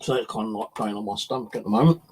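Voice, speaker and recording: A man's voice: lowish voice, very fast, a little mellow, husky. A British man chatting casually on a livestream as its host, rambling and hesitant in an informal way.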